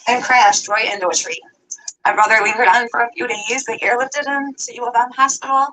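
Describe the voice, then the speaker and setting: A woman's voice: a little high, steady tone, brisk, bright, forceful tone, low-quality recording. A woman telling a long personal story, rambling and adding details as she goes. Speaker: vlogger telling her story.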